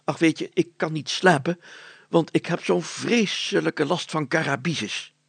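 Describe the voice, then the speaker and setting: A man's voice: fairly high pitch, unhurried, vocal fry, fairly bright tone, slightly rough, no echo, voice pushed up, exaggerated voice. A man voicing a pompous, blustering older village mayor who repeats himself and exclaims in a children's story. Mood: plaintive, blustering.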